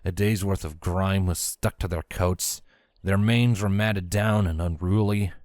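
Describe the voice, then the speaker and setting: A man's voice: deep voice, fairly even intonation, unhurried, a little mellow, dry recording, studio quality. A man reading scripted story narration as the narrator of a fan fiction tale.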